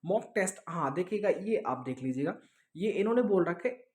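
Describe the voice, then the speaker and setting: A man's voice: high-pitched, animated, a little fast, a little mellow. Male online exam coach, informal and explanatory, checks in often, code-mixes English. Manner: explanatory, repeats himself, with check-in tags; mixes English words into speech. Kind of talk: informal online lesson, answering student questions.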